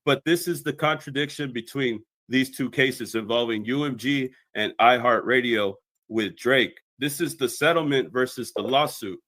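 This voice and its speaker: A man's voice: mid-range voice, moderate pace, few pauses, a bit bright, dry recording. A man delivering scripted explanatory commentary in a methodical, expository way as a video commentator.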